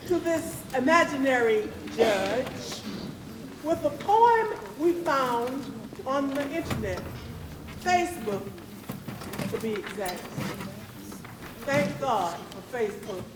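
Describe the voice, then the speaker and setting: An echoey, noisy hall carries a high-pitched woman's voice. She presents a stage program very slowly, setting out short phrases with dramatic pauses. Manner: deliberate, speaking in short phrases. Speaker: presenter of a readers theatre performance. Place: live theatre event in an echoey room. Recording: echoey hall, clear background noise.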